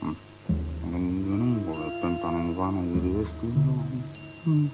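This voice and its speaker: A man's voice: low-pitched, slow pace. A capricious, decadent drunken king. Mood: drunken, obsessed. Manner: mannered, swinging from mutters and whispers to loud declaiming. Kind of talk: avant-garde theatrical radio drama acting. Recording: radio drama with music underneath.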